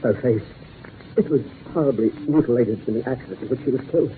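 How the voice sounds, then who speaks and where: An actor playing a fearful, distraught widower who stammers in agitation, in 1940s radio horror style. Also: male voice, medium pitch, fast talker, lightly acted. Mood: shaken, horrified. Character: distraught, fearful husband of a dead woman. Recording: tinny, hissy 1940s radio recording.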